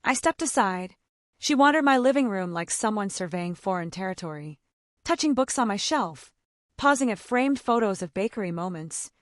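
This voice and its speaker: A woman's voice: medium pitch, animated, moderate pace, dry recording, studio quality. A woman delivering dramatic first-person scripted narration for an audio story.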